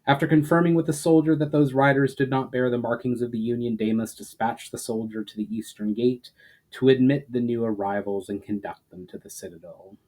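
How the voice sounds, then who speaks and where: A man reading fantasy fiction aloud as a dramatic narrator, in a measured delivery with the odd stumble. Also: medium pitch, fairly even intonation, a little mellow, poor audio. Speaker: author reading own story.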